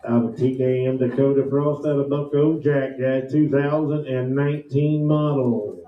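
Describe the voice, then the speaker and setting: A man's voice: medium pitch, steady tone, normal speed, muffled recording. American male auctioneer, echoey sound. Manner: repetitive, rhythmic patter. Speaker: livestock auctioneer. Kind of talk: rapid auction chant mixed with spoken sales pitches. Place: indoor livestock auction ring.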